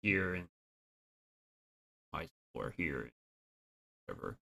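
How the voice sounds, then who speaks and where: A woman hosting a podcast interview who asks gentle questions and makes dry, sympathetic asides. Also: extremely low pitch, expressive, slow, creaky. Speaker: podcast host. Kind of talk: conversational interview.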